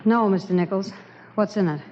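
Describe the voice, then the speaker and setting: A woman's voice: mid-range voice, a little fast, dark tone, slight background noise, natural voice. An actress playing a spirited frontier woman in a 1940s radio drama. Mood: playful, loving. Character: spirited frontier woman Dixie, later an old-timer widow remembering. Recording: dull vintage radio recording, music behind.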